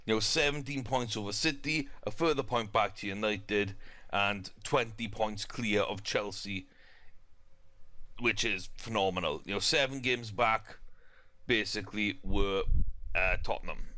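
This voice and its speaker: A man's voice: on the low side, unhurried, bright, forceful tone, no room sound. A young man with a South Welsh accent, chatting casually through a football management game with lots of fillers and restarts. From South Wales. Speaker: gaming YouTuber.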